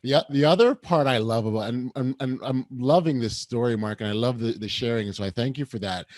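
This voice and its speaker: A man's voice: medium pitch, a little fast, darkish tone, no room sound, clean studio sound. A man hosting a podcast interview, enthusiastic and affirming, often restarting his sentences. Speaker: podcast host.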